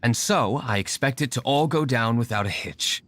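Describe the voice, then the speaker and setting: A man voicing an aloof, stoic, well-spoken young nobleman in a video game, formal and measured. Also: medium pitch, animated, fairly bright tone, close to an everyday voice. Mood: resolute. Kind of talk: video game character acting.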